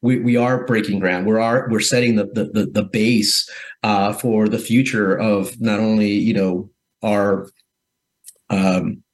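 Low-voiced measured man from Guatemala. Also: steady tone, rough recording. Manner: measured, thoughtful, frequent "uh" pauses and restarts. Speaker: guest, corporate philanthropy executive. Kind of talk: reflective interview answers.

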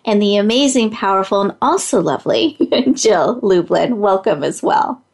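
A woman hosting a motivational talk show, her high voice set at an ordinary pitch for her. She talks playfully and often breaks into a giggle. Her tone is encouraging throughout. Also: animated, moderate pace, creaky, darkish tone, breathy edge.

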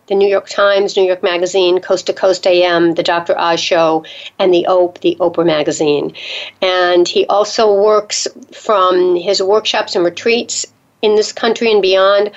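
A woman hosting a radio talk show, speaking in an affirming, measured way. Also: fairly low pitch. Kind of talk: radio interview. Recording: dull lo-fi radio sound.

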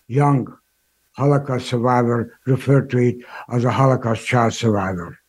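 An older man in his eighties with a Hungarian accent. His voice is husky and mellow, and he talks haltingly, restarting his words as he answers an interviewer. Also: medium pitch, fairly even intonation. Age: 80s. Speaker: guest, Holocaust survivor. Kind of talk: interview answers, recounting history.

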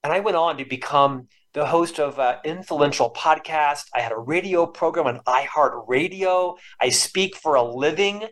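A man's voice: a little high, normal speed, few pauses, a bit bright. Earnest, emotional man in his fifties. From Florida, US. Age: 50s. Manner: lifelong stutterer, earnest and emotional. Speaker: podcast guest. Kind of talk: reflective storytelling interview.

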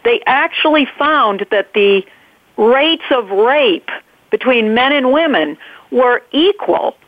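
A woman's voice: fairly high pitch, lively intonation, no room sound. A woman with a New England accent, a guest making an earnest, emphatic case on a call-in show, piling up one point after another. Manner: emphatic, fast-flowing, piles up points. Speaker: guest advocate. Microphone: phone. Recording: tinny phone line, dry.

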